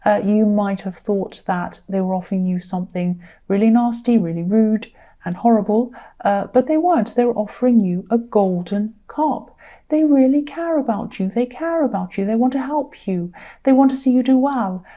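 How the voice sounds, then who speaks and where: A woman talks through a tarot reading straight to her viewers, earnest and gentle, often repeating a phrase to drive it home. Her voice is tired and a little croaky. The tone is soft and mellow, without brightness. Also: medium pitch, normal speed, highs cut off. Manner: gentle, earnest, many ums and repetitions. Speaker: tarot reader. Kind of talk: casual spoken tarot reading.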